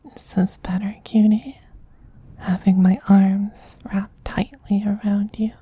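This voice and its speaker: Casting: male actor for doting femboy vampire queen, ASMR roleplay. Very breathy whisper, slow, coaxing, possessive yet tender. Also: high voice, little pitch movement, warm tone, dry recording, full character voice. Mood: soothing, protective.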